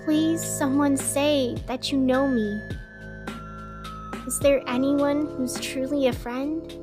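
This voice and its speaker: A woman's voice: sits high, fairly even intonation, slow pace. A woman playing a weary, lonely radio host, musing wistfully to herself in a scripted radio fiction monologue. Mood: lonely, pleading. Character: weary, lonely, melancholy female radio host in a gray city. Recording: music playing under the voice.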